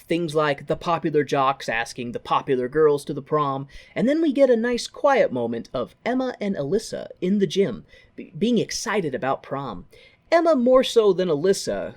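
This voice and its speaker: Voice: mid-range voice, a little mellow. A podcast co-host giving a casual, comedic movie recap, irreverent and sweary, with jokey asides.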